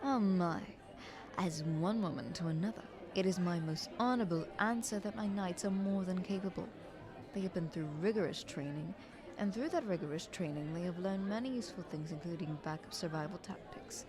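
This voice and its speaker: A woman playing a scheming, flattering queen in an audio roleplay, speaking in a haughty, ornate courtly manner. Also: on the low side, brisk, a crowd in the background, close to an everyday voice. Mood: haughty, persuasive. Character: visiting queen, scheming, flattering, condescending royal negotiator.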